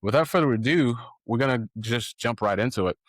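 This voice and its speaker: A man hosting a podcast interview, speaking in an earnest, halting way, with many restarts. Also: medium pitch, no echo. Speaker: podcast host.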